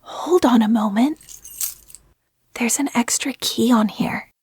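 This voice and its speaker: A woman's voice: fairly high pitch, slow, darkish tone, slightly rough, no room sound, clean studio sound, full character voice. A woman acting in an audio drama as a careful, observant prisoner. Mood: cautious.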